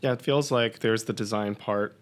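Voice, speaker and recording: A man's voice: lowish voice, moderate pace. A man hosting a podcast, asking his guest questions in an easygoing way. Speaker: podcast host. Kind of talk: interview questions.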